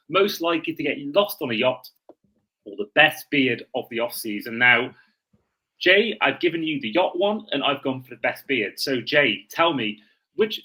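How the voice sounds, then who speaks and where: A man's voice: on the high side, pushed and bright, slightly rough, rough recording. A man hosting a sports podcast in casual chat, often correcting himself mid-sentence.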